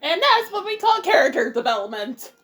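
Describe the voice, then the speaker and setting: A woman's voice: very high voice, sing-song, a little fast, fairly bright tone. A woman doing casual Let's Play commentary as host, playful and rambling with sing-song exclamations. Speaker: gaming video host.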